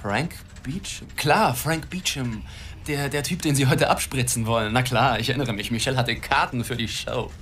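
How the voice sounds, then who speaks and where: An actor playing a cynical, offhand newspaper reporter in a radio play. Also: male voice, mid-range voice, normal speed, fairly bright tone, slight background noise, lightly acted. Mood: flippant. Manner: offhand, sardonic.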